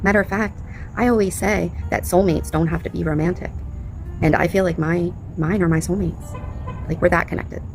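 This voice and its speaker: A woman's voice: fairly low pitch, steady tone, moderate pace, soft background music, low-quality recording. A woman hosting a reaction channel, speaking casually and with strong opinions, leaning on repetition and rhetorical questions. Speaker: commentary channel host reacting to clips.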